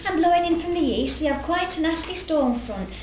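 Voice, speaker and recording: A woman's voice: extremely high pitch, lively intonation, fairly quick. An actress reading a grateful mother's phone message, a weather girl and a police dispatcher in a scripted audio drama. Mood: breezy. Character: young woman caller and weather girl. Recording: dull lo-fi roomy recording, faint hiss.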